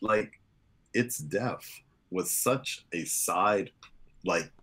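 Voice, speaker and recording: A young man chatting casually in an office, keeping his voice low and subdued as he reviews an episode. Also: drawn-out words, sharp tone, slightly rough.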